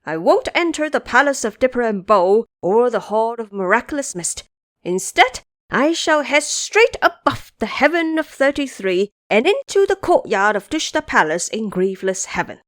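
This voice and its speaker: A cocky monkey king, played by a woman in a storybook tale, teasing and bossing his companions around. His voice swings up high and wide, and the sound is dry and close. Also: moderate pace, no room sound. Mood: lively boastful. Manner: teasing, lively, bossy. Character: mischievous boastful male monkey king.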